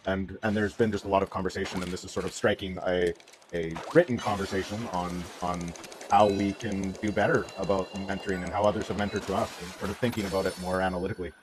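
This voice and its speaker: A man's voice: low voice, steady tone, quick pace, noisy background. A man co-hosting a casual livestream, talking reflectively and often searching for his words. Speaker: livestream co-host.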